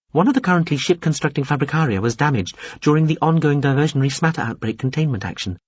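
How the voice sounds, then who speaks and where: A small alien officer who talks in clipped, officious, bureaucratic sentences, as a male narrator puts him on. The voice sits well above the reader's own, a touch husky. The recording is dry and slightly dull. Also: fairly high pitch, no echo, pitched above the performer's own voice. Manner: stiff, formal, bureaucratic phrasing. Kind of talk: audiobook character voice.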